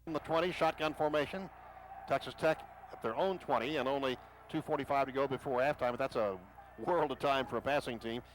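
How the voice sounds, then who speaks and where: A man calling a football game play by play for radio, rising in pitch and volume on big plays. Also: a little fast. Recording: noisy stadium broadcast.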